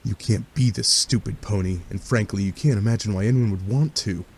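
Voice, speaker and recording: A man with a fairly low voice reads scripted story narration in the second person. His delivery is wry, with faint rain-like noise behind him. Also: a little fast, creaky, darkish tone. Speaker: narrator of a fan dub.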